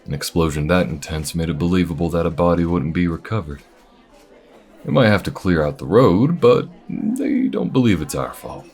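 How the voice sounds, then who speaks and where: A man voicing an easygoing, wry alien bounty hunter with folksy, unhurried phrasing in an audio roleplay. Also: low voice, darkish tone, slightly rough. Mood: warm, steady. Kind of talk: audio roleplay addressed to the listener. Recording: restaurant chatter behind. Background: restaurant crowd ambience.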